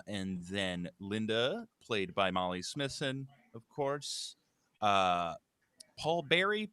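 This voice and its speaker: A man's voice: on the low side, bright, forceful tone, smooth, dry recording. A man hosting an informal live script read, talking casually and narrating stage directions. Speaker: host, writer and stage-direction reader of a live table read.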